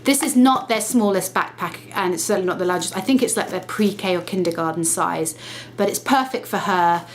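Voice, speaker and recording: A woman's voice: fairly low pitch, a little hiss or hum. A woman vlogging to camera, chatty and explaining things step by step, sounding slightly nasal from a cold. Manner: chatty, self-correcting, brisk explaining. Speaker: lifestyle vlogger. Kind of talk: casual talk to camera.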